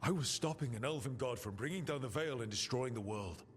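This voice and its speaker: An actor playing a brash, defiant young assassin who leads a team in a video game, speaking with confident insistence. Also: male voice, medium pitch, animated, brisk, natural voice. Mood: defiant, explaining. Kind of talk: dramatic video game dialogue. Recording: long reverb.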